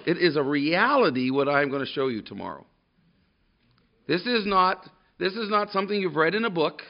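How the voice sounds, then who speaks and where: Gravelly, loud preaching man. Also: on the high side. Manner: loud, emphatic preaching with frequent restarts. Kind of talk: sermon with scripture reading. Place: church room with a congregation. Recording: dull lo-fi sermon recording.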